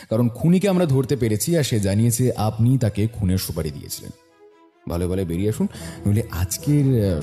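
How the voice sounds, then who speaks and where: Man playing a low-voiced, animated detective. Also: close to an everyday voice. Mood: teasing threat. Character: shrewd, confident male detective. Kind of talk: audio story acting.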